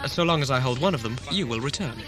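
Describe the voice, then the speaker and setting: A man's voice: mid-range voice, animated, fast, music in the background, close to an everyday voice. A man playing a stern, calculating corporate starship commander in an audio drama, formal, clipped and authoritative. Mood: commanding, calculating.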